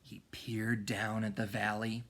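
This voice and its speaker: A man reading a children's storybook aloud in a dramatic manner, often hushed for suspense. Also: fairly low pitch, flat delivery, a little slow. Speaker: music teacher reading aloud.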